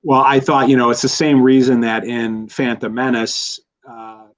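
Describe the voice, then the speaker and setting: A man's voice: mid-range voice, level tone, moderate pace. A man talking about films in a casual podcast discussion, rambling, with many restarts and fillers. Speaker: guest commentator. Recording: slightly dull lo-fi audio.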